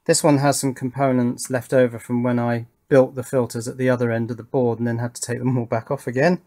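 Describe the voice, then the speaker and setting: A man's voice: medium pitch, level tone, fairly quick, darkish tone. Man thinking aloud over a hands-on build. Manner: thinking aloud, muttering to himself while working. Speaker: electronics hobbyist host. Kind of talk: casual hands-on build commentary. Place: indoors at a wooden workbench.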